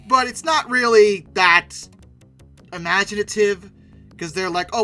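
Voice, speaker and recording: A man's voice: high-pitched, sharp tone. A man giving casual video commentary in a sarcastic, opinionated, emphatic way. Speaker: YouTube commentator and host.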